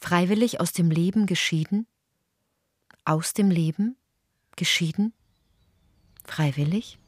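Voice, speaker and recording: An actress playing a sober chronicler, narrating deliberately in a scripted radio drama. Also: female voice, lowish voice, husky, no room sound, clean studio sound. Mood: questioning. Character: sober female chronicler narrating a political scandal.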